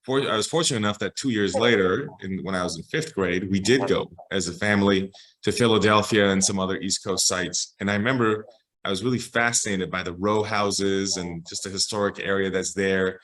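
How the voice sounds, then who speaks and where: A man with an American accent and a fairly low voice talks in an interview. He explains things like a storyteller who knows history, in an informal, conversational way, often checking that the listener follows. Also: brisk, fairly bright tone, poor audio. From Los Angeles, United States. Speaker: public historian, interview guest.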